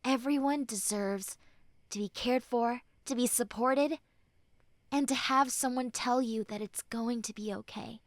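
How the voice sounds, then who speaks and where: A high, young female voice acted by a woman in an intimate, dry roleplay recording. She is a tough tsundere friend letting her guard down. She speaks slowly and earnestly, with hesitant pauses and soft, tender reassurance. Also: no echo, close to an everyday voice. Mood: earnest, reassuring. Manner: earnest, hesitant, soft, often dropping to breathy near-whispers. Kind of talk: intimate roleplay audio acting.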